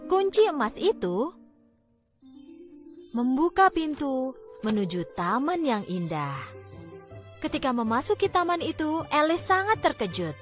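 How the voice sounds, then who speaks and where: A woman narrating a scripted fairy tale for children, expressively and with deliberate phrasing. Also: sits high, big pitch swings. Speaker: storyteller. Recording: background music.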